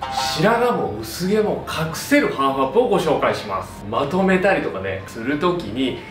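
A man's voice: on the high side, very animated, moderate pace. A man in his thirties, a hairdresser giving an upbeat, friendly, step-by-step tutorial to camera. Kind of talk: instructional hair tutorial to camera. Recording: roomy echo, distant mic, background music. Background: music.

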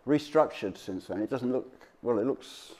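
Man giving a halting, informal slide talk. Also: medium pitch, lively intonation, darkish tone. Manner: halting, conversational, off-the-cuff. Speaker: local history speaker.

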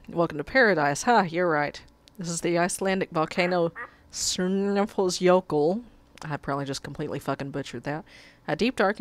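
A woman's voice: deep voice, dry recording. A woman with a cold playing a video game, reading the quest text aloud and swearing at her mishaps as she plays. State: has a cold, sucking a cough drop. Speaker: let's play gamer. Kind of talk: casual gameplay commentary.

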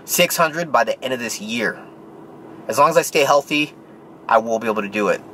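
A man's voice: medium pitch, expressive, a little fast, fairly bright tone, a little hiss or hum, poor audio. A man in his thirties in a parked car talking casually to a handheld camera, with plenty of fillers and restarts. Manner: plain, rambling, many fillers and restarts. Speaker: vlogger, powerlifter. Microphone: handheld camera close to face.

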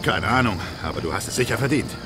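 An actor playing a seasoned, dry-humoured army sergeant, terse and commanding, in a video game. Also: male voice, on the low side, lively intonation, fast talker, over music, natural voice. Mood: matter-of-fact. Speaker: squad sergeant. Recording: loud battlefield noise, helicopters and vehicles behind.

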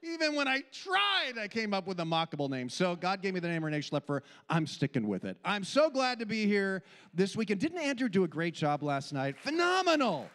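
An older man from Switzerland, Swiss German his first language, giving a humorous sermon with animated, self-deprecating storytelling into a handheld mic on an indoor stage. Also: high-pitched, a little fast. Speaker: camp speaker. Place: indoor hall stage with audience. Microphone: handheld microphone. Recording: long hall reverb.

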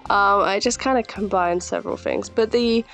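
A young woman with a slightly croaky voice from a sore throat, chatting casually as she narrates a voiceover for her art video and laughing often. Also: medium pitch, moderate pace, a bit bright, soft background music. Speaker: art channel host.

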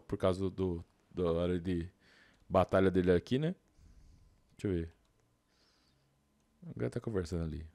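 Casting: Brazilian male, low register, dry close-miked sound, informal slangy game commentary. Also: a little mellow, no room sound. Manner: informal, slangy, thinking aloud. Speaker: gaming YouTuber. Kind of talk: casual gameplay commentary.

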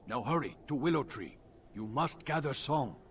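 A man's voice: medium pitch, expressive, normal speed, far from the mic, a little hiss or hum, natural voice. A man playing a commanding alien clan warrior in a video game, with formal, terse phrasing. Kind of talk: video game dialogue acting.

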